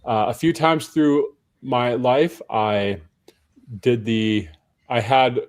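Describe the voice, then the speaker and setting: A tired, hoarse man speaking as a panel guest, chatting in a friendly, self-deprecating way with frequent restarts. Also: medium pitch, steady tone, slow. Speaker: panel guest, personal trainer. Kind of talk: casual panel conversation.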